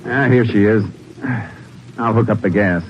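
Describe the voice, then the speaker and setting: An American actor playing a steady, businesslike Texas Ranger in a 1950s radio crime drama, speaking in a terse, matter-of-fact way. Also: male voice, lowish voice, measured pace, close to an everyday voice. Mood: calm, practical. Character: steady, businesslike male Texas Ranger, narrating his case. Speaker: lead actor and first-person narrator. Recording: noisy, muffled old radio recording.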